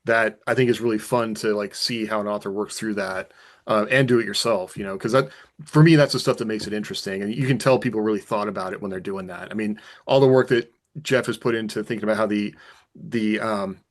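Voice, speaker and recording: Man, lowish pitch, casual panel talk, enthusiastic, thinks out loud. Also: quick pace. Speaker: podcast co-host and author. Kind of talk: casual panel discussion about writing.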